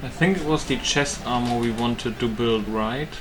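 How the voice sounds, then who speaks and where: A man's voice: medium pitch, normal speed, noisy background. A man giving casual gameplay commentary, thinking aloud as he hosts a gaming video. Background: game music.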